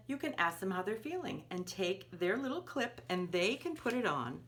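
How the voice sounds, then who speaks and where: A woman's voice: mid-range voice, a bit bright. A woman presenting a children's storytime, patiently explaining a craft step by step in simple words to parents of young children. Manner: simple patient explaining, often refers to herself in third person.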